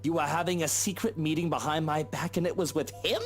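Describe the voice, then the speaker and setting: A man's voice: fairly high pitch, fairly even intonation, fast talker, faint music behind, pitched above the performer's own voice, close to an everyday voice. A man voicing a drunk, jealous husband with a sneering, patronizing drawl. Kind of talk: voicing a visual novel character.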